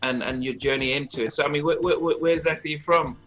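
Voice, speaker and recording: A man hosting a casual interview, friendly, laughing easily, with halting restarts in his questions. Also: a little high, steady tone, a bit bright, dull audio, soft background music. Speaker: interview host.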